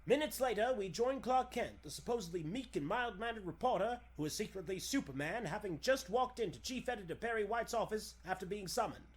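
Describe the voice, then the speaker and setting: A man's voice: high voice, lively intonation, fairly quick, hardly any pauses, husky. A man announcing and narrating in the emphatic, theatrical style of a 1940s radio show.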